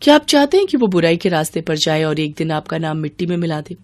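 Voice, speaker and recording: A woman's voice: sits low, very animated, fast talker. A woman voicing a principled, firm schoolteacher in a cartoon moral story, with an admonishing manner. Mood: accusing, firm. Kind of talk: animated moral story dialogue.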